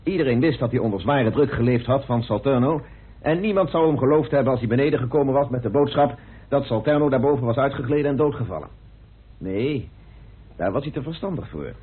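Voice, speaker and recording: A methodical detective confronting his suspects in a radio drama. His very husky voice moves freely in pitch and presses on insistently with deliberate pauses, on a muffled, phone-like old recording. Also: animated. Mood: accusing, deliberate. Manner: deliberate, accusing, theatrical pauses. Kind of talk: radio play acting in Dutch.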